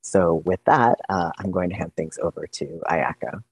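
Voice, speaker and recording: A man with a very deep voice and a breathy, husky texture. He delivers earnest, prepared opening remarks over a video call. The sound is close and dry. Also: normal speed, no room sound. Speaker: workshop facilitator.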